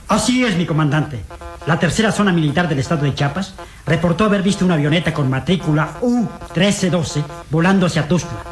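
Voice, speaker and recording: A man's voice: a little high, brisk, lightly acted. A dutiful sergeant played by a man, in a radio drama. He reports formally and respectfully to his superior, with music underneath. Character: dutiful, deferential sergeant and telegraph operator. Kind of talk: Latin American radio drama acting.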